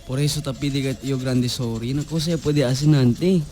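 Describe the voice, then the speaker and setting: A man's voice: medium pitch, a little fast, mellow, soft background music, lightly acted. A man with a Zamboanga Filipino accent playing an apologetic, hesitant suitor in an improvised comic radio drama. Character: apologetic, stingy suitor inviting a woman to dinner.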